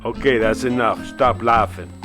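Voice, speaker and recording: A man from Argentina, narrating his woodturning work in a relaxed, self-mocking voice-over with many fillers. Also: medium pitch, normal speed, music in the background. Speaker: woodturning channel host.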